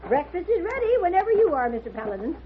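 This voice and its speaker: An actress playing a brisk, no-nonsense older boarding-house woman, motherly and bossy, in a 1950s radio western. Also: extremely high pitch, big pitch swings. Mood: hospitable. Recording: muffled, noisy old radio recording.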